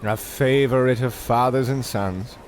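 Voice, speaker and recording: An actor playing a pompous, fussy, vain old detective and singer in an old-time radio comedy. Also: male voice, mid-range voice, a little slow, slight background noise, natural voice. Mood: self-satisfied. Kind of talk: old-time radio play parody acting.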